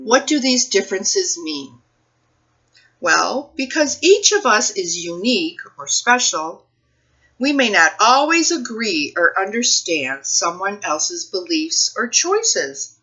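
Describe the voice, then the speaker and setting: A woman reading a picture book aloud for children's storytime, phrasing things deliberately and putting questions to her young listeners. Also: medium pitch, big pitch swings, bright, forceful tone, highs cut off.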